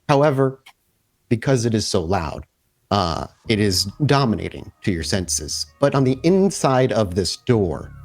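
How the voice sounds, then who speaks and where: Casting: male storyteller for tabletop horror, lowish pitch, deliberate descriptive narration, light music underneath. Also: a little mellow. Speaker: storyteller, game master.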